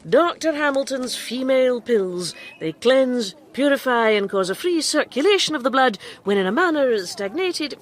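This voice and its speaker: A woman's voice: sits high, animated, normal speed, few pauses. An actress playing a woman who poses as a male quack doctor in a radio drama, with grand, self-assured patter. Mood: brisk, persuasive. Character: woman posing as a flamboyant, quick-witted male quack doctor. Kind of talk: radio drama acting and narration.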